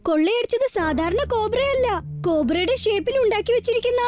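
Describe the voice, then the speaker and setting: A woman's voice: very high voice, expressive, a little fast. A woman voicing a clever young boy superhero who confidently explains his deductions. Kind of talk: cartoon dubbing. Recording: music behind.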